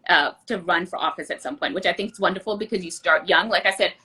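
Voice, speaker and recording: A woman guest answers interview questions at a quick clip. Her voice is bright with a husky edge, and she explains things earnestly and conversationally. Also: on the low side. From born outside the US. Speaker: interview guest, political candidate.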